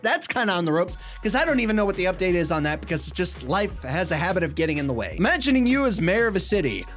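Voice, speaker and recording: A man in his thirties, a gaming vlogger reading viewer comments in casual chat, slightly stuffy from a cold, animated and gesturing in a small foam-lined room. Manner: animated, gesturing a lot, swings between excited and low asides. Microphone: desk mic, webcam framing at head and shoulders.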